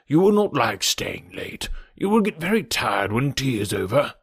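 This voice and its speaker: A man voicing a gentle, fretful old gentleman, an anxious father in a classic novel, with a fussy, mournful, deliberate manner. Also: medium pitch, sing-song, vocal fry, breathy edge, dry recording, clean studio sound, exaggerated voice. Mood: anxious, fussing. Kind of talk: audiobook character voice from a classic novel.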